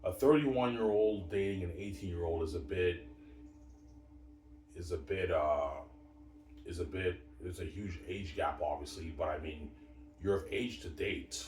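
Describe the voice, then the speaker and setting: A man's voice: deep voice, a little mellow. A man in his thirties telling a rambling personal story, repeating "obviously" and "you know what I'm saying" often. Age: 30s. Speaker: vlogger. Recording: roomy and distant with faint background hiss.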